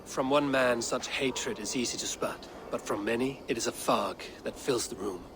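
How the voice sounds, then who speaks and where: An actor playing a stern, terse Viking warrior who speaks in a measured, grave way. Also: male voice, mid-range voice, noisy background, close to an everyday voice.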